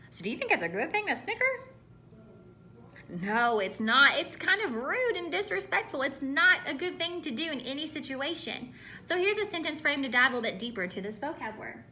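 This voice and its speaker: Animated, bright, high-voiced woman teaching children. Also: slight background noise. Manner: animated, instructive, asks listeners questions. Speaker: elementary school teacher. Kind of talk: read-aloud lesson for children.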